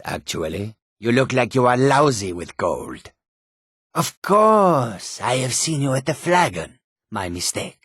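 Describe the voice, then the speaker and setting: A man voicing a sly, whimsical male cat-folk wanderer in a video game, with a raspy, husky voice, deliberate clipped phrasing. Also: mid-range voice, fairly bright tone, dry recording, clean studio sound, exaggerated voice. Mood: menacing, sly. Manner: speaks of himself in third person, deliberate, clipped phrasing. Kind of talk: video game character dialogue.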